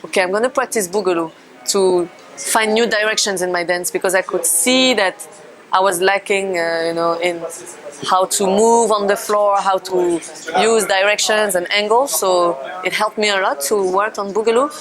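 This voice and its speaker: A woman's voice: mid-range voice, normal speed. A woman in her thirties from France with a French accent, speaking English as a second language and telling her life as a dancer in a hesitant way, with many fillers and restarts. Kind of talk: casual personal account.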